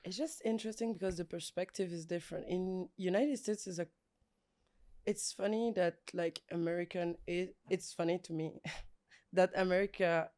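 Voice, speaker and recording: A woman's voice: lowish voice, steady tone, normal speed, darkish tone. A young woman from Belgium, a guest speaking thoughtfully in a casual podcast interview.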